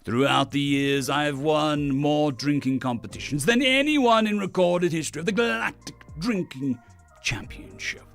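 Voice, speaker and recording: A man's voice: a little high, moderate pace, creaky, a bit bright, soft background music, voice pushed up. A man voicing a proud alien drinking champion, narrating a story in a theatrical, boastful, melodramatic way. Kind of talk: dramatic first-person story narration.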